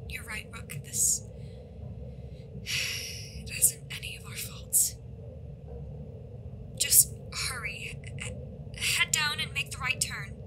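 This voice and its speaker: A woman's voice: sits high, slow pace, pauses often, in a whisper, low-quality recording. A woman playing an anxious, hesitant ally who gives urgent guidance in an audio drama. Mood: subdued, urgent. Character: anxious woman ally guiding a detective by phone.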